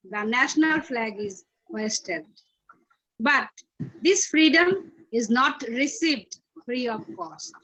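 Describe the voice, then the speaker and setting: High-voiced, slow Indian woman poet. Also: pushed and bright, muffled recording. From Tripura, India. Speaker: poet, teacher. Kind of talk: formal poetry recitation.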